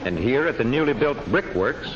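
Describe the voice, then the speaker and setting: A man narrating an old educational history film from a script, in measured, declamatory storytelling phrases. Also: medium pitch, expressive. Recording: dull old film soundtrack with faint noise.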